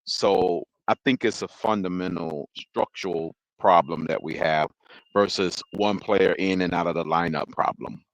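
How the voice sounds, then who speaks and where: A man talking football as a guest fan, rambling and halting with frequent chuckles. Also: low voice, gravelly, no room sound, low-quality recording. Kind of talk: casual sports chat.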